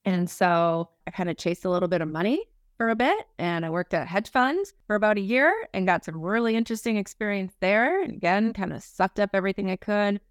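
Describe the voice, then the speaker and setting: A woman's voice: medium pitch, expressive, fairly quick, no room sound, clean studio sound. An American woman from Minnesota speaking as an interview guest, telling career stories informally with lots of "like" and "you know". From Minnesota, United States. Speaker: podcast guest, human resources executive. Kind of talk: casual career interview, conversational storytelling.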